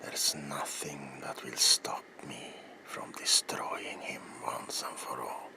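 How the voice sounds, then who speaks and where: Older male vampire hunter , acted by a man, very low and slow, grave and ominous, dramatic ASMR roleplay delivery that sinks into whispers. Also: steady tone, heavily acted. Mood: solemn resolve. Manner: deliberate, dramatic, dropping into breathy whispers. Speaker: character performer in roleplay.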